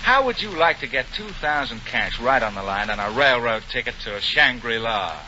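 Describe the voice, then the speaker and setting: An actor playing a hot-tempered, sarcastic bachelor with a bossy manner in a 1940s radio comedy. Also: male voice, medium pitch, animated, normal speed, natural voice. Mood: sarcastic, suspicious. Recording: noisy, muffled 1940 radio broadcast.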